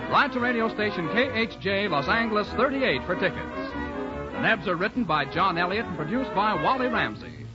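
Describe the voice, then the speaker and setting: A male show announcer giving a formal, polished, scripted 1940s radio sign-off style read. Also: high voice. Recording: muffled vintage radio, loud music behind. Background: loud music.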